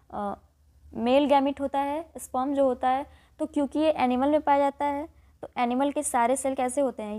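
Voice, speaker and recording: High-pitched Indian woman teacher. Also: darkish tone. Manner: explanatory, repeats and rephrases key points. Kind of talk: exam lesson, explaining quiz questions and answers.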